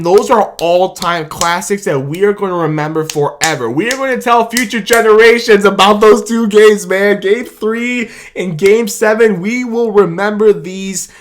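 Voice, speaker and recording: A man hosting a sports video, talking in an excited, casual way with frequent laughs and shouts of "baby" and "man", his voice a little worn from cheering. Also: high-pitched.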